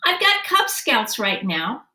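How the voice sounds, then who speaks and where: Woman acting an excitable schoolboy, high-pitched, expressive. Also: takes it slowly, exaggerated voice. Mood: pleading. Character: earnest, excitable schoolboy with a competitive streak. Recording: roomy, distant microphone.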